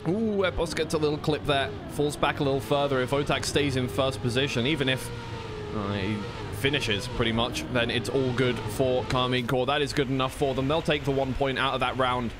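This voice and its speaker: A man's voice: mid-range voice, fairly even intonation, brisk. Man casting esports racing over noisy game sound. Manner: informal, slightly flustered, thinking aloud. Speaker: esports commentator and streamer. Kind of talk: live racing game commentary mixed with casual stream chat. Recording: clear noise, game car engines behind.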